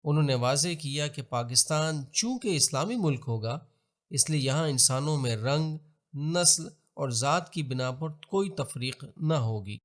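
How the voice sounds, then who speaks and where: A man with a Pakistani accent reads scripted historical narration in a formal, measured, expository manner. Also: a little high. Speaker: documentary narrator.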